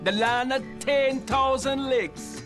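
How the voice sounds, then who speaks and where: A man's voice: extremely high pitch, steady tone, takes it slowly. An actor with a Jamaican accent playing a relentlessly cheerful, easygoing man in a comedic commercial. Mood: cheerful, soothing. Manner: sunny, laid-back. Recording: loud guitar music behind.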